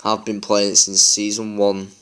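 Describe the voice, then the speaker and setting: A man chatting casually while playing a mobile game, tired and a bit croaky, rambling with lots of fillers. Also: lowish voice, completely flat delivery, moderate pace, pushed and bright. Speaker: gaming YouTuber. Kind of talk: casual gameplay chat.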